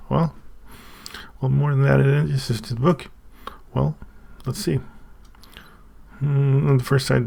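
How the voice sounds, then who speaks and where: Man, Swedish native speaker, very gravelly voice, halting, casual unboxing talk. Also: medium pitch, a little mellow. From Sweden. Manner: halting, thinking aloud with many restarts. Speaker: channel host.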